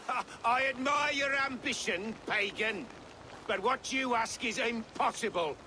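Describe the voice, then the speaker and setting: A proud medieval king in a game drama, very high in pitch and slow, declaiming with haughty scorn for pagans. Clear outdoor noise surrounds his voice. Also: pushed and bright, heavily acted. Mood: scornful. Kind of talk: dramatic game cutscene acting. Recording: noisy outdoor ambience behind.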